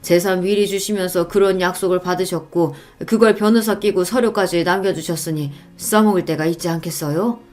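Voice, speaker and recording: Woman acting a calm, kindly veteran taxi driver. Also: on the low side, a little fast, few pauses, faint music behind, lightly acted. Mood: encouraging. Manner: calm, comfortable, earnest.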